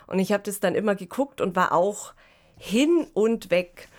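Casting: female podcast co-host, medium pitch, analytical and engaged, conversational delivery with frequent tag questions. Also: animated, unhurried, darkish tone. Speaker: political scientist and science fiction analyst.

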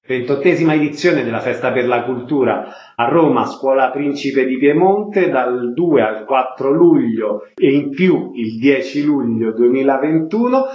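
A man's voice: mid-range voice, animated, a little slow, few pauses. A man hosting a radio interview and reading out announcements. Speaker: radio host. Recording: dull, band-limited sound.

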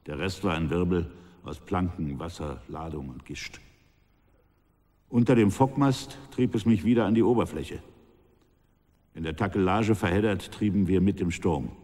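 An actor playing a somber sea captain on trial in a German radio drama, recounting events in stark, measured phrases. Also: male voice, low voice, darkish tone. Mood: bleak, haunted. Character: grave male sea captain on trial, recounting his shipwreck. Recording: long reverb, faint music behind.

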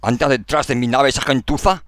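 Man acting a gruff, scornful ship captain, pitched up. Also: fairly even intonation, a little fast, fairly bright tone, lightly acted. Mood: irritated contempt. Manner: brusque, scornful. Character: gruff, irritable male freighter captain, contemptuous and coarse. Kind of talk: radio drama acting.

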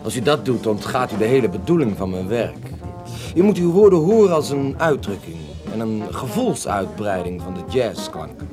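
Pretentious beatnik poet, animated. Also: male voice, on the low side, normal speed. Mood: earnest, lofty. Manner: earnest, pretentious explaining. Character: earnest, self-important male beatnik jazz poet. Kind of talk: radio drama dialogue. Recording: loud jazz club music behind dialogue.

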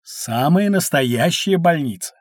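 An actor playing a kindly, knowledgeable uncle with a husky voice, narrating history for children in an educational audio drama. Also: male voice, fairly high pitch, animated, slow, no room sound, clean studio sound. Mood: explaining, reassuring. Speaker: storyteller guide for children.

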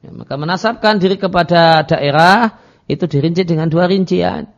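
A male Islamic teacher delivers a religious lecture in a slightly high, gravelly voice. He works through a text didactically, moving between Arabic phrases and their explanation. The audio is dull and lo-fi. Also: normal speed. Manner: didactic, reads Arabic phrases then translates and explains them.

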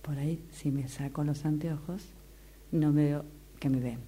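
A woman chats casually and takes her time. Her voice is low, very dark and husky. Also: steady tone, a little slow. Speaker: radio drama workshop participant. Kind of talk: casual, unhurried chat.

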